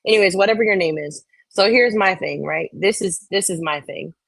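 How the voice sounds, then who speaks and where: A woman's voice: mid-range voice, brisk, vocal fry, fairly bright tone, breathy edge, no echo. A woman co-hosting a casual chat, reading out and reacting to comments in a blunt, opinionated way with some swearing.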